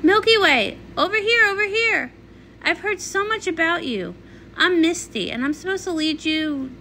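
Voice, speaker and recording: Woman as young cartoon pony mare, high voice, gentle, earnest, friendly, animated. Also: normal speed, a little hiss or hum, full character voice. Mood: eager. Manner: earnest, conciliatory. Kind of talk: comic read-along character voice.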